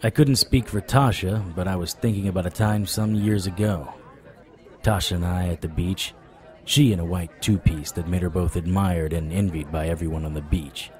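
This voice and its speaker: Guy playing a wisecracking supernatural pi, deep-ish relaxed voice, persuasive, audio drama with street noise behind. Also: normal speed, some chatter behind, natural voice. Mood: brooding, narrating. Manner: wry, flirtatious, persuasive. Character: wisecracking, stubborn male supernatural private investigator. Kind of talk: audio drama acting with noir internal monologue.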